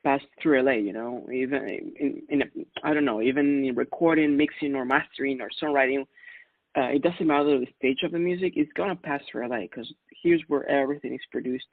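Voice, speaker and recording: Guy from ecuador, gravelly voice, easygoing and chatty, sounds dry and phone-thin. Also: medium pitch, fairly quick, dry recording, phone-quality sound. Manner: relaxed, chatty, laughs often, many fillers. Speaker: guest, music producer. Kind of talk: casual interview answers.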